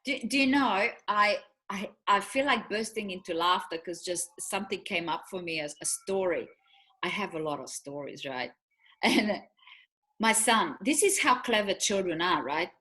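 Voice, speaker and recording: A woman's voice: mid-range voice, expressive, moderate pace, bright, forceful tone. A middle-aged woman from Romania with a Romanian accent, co-hosting a casual chat and telling stories with frequent laughs. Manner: chatty storyteller, laughs often. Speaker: co-host.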